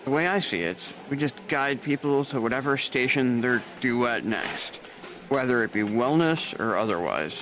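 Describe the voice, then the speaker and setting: An actor playing a jaded male nurse supervisor who talks with calm, dry gallows humor. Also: medium pitch, moderate pace, close to an everyday voice. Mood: wry grim. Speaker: charge nurse. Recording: noisy background.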